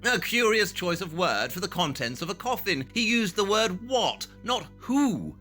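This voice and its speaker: An actor playing a brilliant, impatient, cynical detective in an audio play, with an emphatic, brusque, analytical delivery. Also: male voice, sits high, big pitch swings, a little slow, pushed and bright, faint music behind, heavily acted. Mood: excited.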